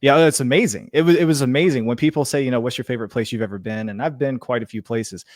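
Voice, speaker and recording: A man's voice: mid-range voice, fast, a little mellow, no room sound. A man in his thirties with an everyday mid-range voice hosts a casual chat over a video call. He sounds friendly and lays out his questions one step at a time, checking in with "right?" as he goes. The sound is dry and close. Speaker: host of a tech channel.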